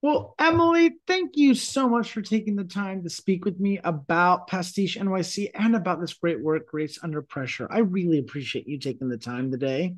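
A man's voice: sits high, normal speed, few pauses. A man hosting an interview podcast, speaking in a polished, announcing style. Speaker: podcast host.

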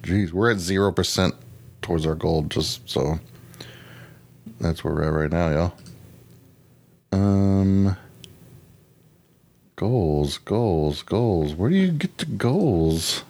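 Male podcast host, low voice, casual and unscripted, distracted, thinks out loud. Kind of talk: casual chat.

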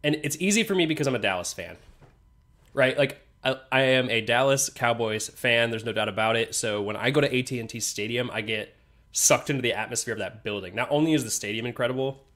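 A man's voice: medium pitch, brisk, a bit bright. A young man co-hosting a casual podcast over beers, congested from a sinus infection, laid-back and laughing often. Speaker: podcast co-host.

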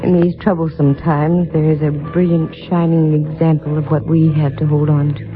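A woman acting a poised, warm-hearted lady detective in a vintage radio play. Her delivery is affectionate and deliberate, thin and tinny on the old recording, with music behind. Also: sits low, flat delivery, close to an everyday voice. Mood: tender, earnest. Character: worldly, warm-hearted female private detective. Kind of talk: 1950s radio drama acting.

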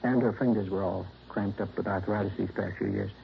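An actor playing an earnest, troubled insurance claims man in a 1960s radio drama, hesitant and quick to protest. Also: fairly low pitch, steady tone, gravelly, slight background noise. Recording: dull lo-fi vintage radio broadcast.

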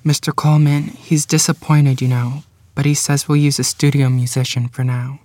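Even, earnest, measured man reading a kind woman musician in a period drama, dry sound. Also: medium pitch, no echo, close to an everyday voice. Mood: resigned. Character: Doris, steady, kind woman musician and bandleader. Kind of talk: screenplay table read.

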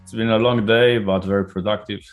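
A man's voice: lowish voice, brisk, faint music behind. A middle-aged man with an Israeli accent, a guest entrepreneur talking casually in an interview, often repeating words as he starts a thought. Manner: repeats words when starting, chatty and relaxed.

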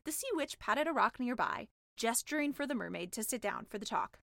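Female audiobook narrator, fairly high voice, scripted comic storytelling, dry recording. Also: expressive, brisk, vocal fry, fairly bright tone, no room sound.

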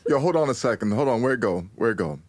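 Casting: male actor for young newlywed husband in audio fiction, casual street-smart slang, very animated intonation. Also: medium pitch, fast talker, lightly acted. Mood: startled, urgent. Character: hardworking, exasperated young newlywed husband, Gaza. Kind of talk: audio drama acting with first-person storytelling.

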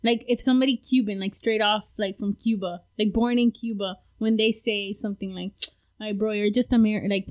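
A woman hosting a casual interview, playful and quick to laugh, saying "like" often. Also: mid-range voice, steady tone.

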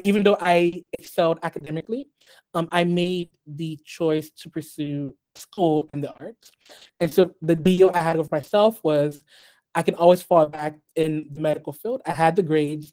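Guy on a podcast, haiti-born, raised in the us, mellow voice, lots of ums, starts over a lot. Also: on the high side, poor audio. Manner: measured, many ums and restarts. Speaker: guest artist. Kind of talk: podcast interview.

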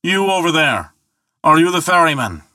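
A man voicing a booming, hot-tempered and credulous thunder god in a mythological tale, bellowing and boasting indignantly. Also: animated, normal speed, sharp tone, dry recording, clean studio sound. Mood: hailing loudly. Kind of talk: dramatic mythological storytelling.

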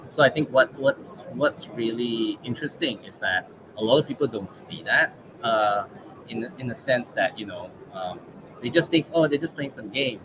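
A man from Malaysia with a Malaysian English accent, a guest in a casual interview, relaxed and thinking aloud with many fillers. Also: mid-range voice, moderate pace, background noise. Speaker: guest, regional manager.

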